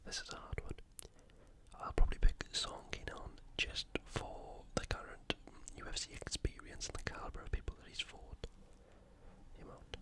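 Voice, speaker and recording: A speaker from the UK giving ASMR sports commentary in a soft, unvoiced whisper, halting now and then while thinking aloud. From United Kingdom. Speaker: ASMR host previewing fights.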